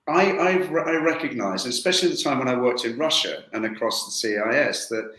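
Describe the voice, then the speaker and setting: A man coaching leaders speaks at a mid pitch, pushing his points home with emphatic repetition. His voice bounces around an echoey room on the call. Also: fairly bright tone. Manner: emphatic, repeats for effect, fast bursts. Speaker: transformational coach. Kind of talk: webinar panel discussion.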